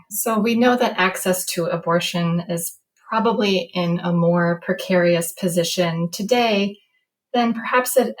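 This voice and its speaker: An American woman explains research as an interview guest. Her voice sits a little lower than most women's, and she talks in an explanatory way. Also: low-quality recording.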